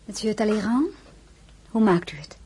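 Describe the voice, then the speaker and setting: An actress playing a dignified, wistful and wary crown princess in a radio drama, speaking formally and measured, often dropping to a breathy murmur. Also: female voice, mid-range voice, animated, dark tone, a little hiss or hum, natural voice. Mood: formally polite. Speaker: lead actress and first-person narrator. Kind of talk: Dutch radio drama acting.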